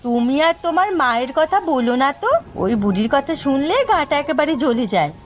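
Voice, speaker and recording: A woman voicing a grasping village wife and doting mother in a cartoon story, chatty and sharp-tongued. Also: high-pitched, expressive, fairly quick, slight background noise. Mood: spiteful. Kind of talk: Bengali cartoon story voice acting.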